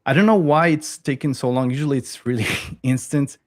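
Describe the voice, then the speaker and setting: A man demonstrating code on a livestream call, talking casually and halting, repeating words as he works. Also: mid-range voice. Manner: halting, repeats words while working on screen. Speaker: guest developer. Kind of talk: casual tech chat while demoing code.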